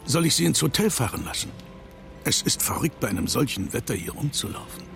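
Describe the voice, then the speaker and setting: An actor playing a menacing rich older man in a German radio drama, deliberate, sardonic and coldly superior. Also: medium pitch, big pitch swings, gravelly, fairly bright tone, faint music behind. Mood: cool politeness.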